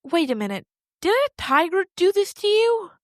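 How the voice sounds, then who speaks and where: A woman's voice: very high voice, sing-song, normal speed, no echo, studio quality. A woman playing an anxious, squeamish, kind-hearted girl in an audio drama, rambling and hesitant as she blurts out questions. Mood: panicked. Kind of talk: audio fiction acting, naturalistic.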